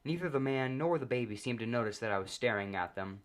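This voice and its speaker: A man reading a comedic first-person story aloud as narrator, in a deadpan manner. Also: medium pitch, fast talker.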